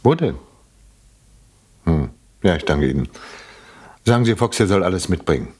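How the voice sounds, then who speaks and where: An actor playing a shrewd, courteous British police inspector in a German radio crime drama, speaking in a measured, drily insinuating way. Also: male voice, low-pitched, sing-song, a little mellow, breathy edge, full character voice. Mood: businesslike.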